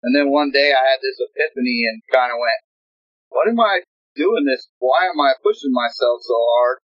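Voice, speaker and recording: A middle-aged retired military man, a guest, talking in a relaxed, rambling way with many fillers. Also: medium pitch, moderate pace, dry recording. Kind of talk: casual interview chat. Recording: dull lo-fi sound.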